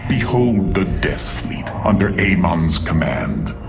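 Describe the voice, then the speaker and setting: An actor playing a proud, scheming alien warlord in a video game, haughty and theatrical in his gravelly delivery. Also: deep voice, sing-song, slow pace, music in the background, exaggerated voice. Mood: grave, menacing. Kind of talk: dramatic video game cutscene acting.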